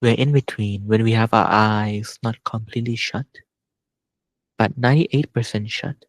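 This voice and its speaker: A man leading a guided meditation as a teacher, speaking gently and unhurriedly with long pauses. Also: lowish voice, fairly even intonation, dry recording.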